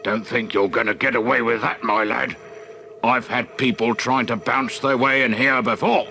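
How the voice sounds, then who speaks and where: Man doing a gruff, officious ticket guard. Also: medium pitch, fairly even intonation, moderate pace, sharp tone, a little hiss or hum. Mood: suspicious. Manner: blunt, officious.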